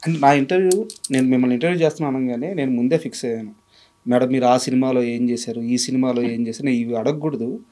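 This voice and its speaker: A man's voice: mid-range voice, fast, dark tone. A man interviewing in a conversational, deferential way, carefully explaining himself before he puts each question.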